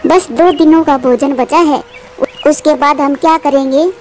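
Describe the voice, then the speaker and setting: High-voiced anxious mother bird, played by a woman. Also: a little slow, a little mellow, slight background noise. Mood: worried. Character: anxious, devoted mother bird, tender and self-sacrificing. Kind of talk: Hindi moral cartoon dubbing.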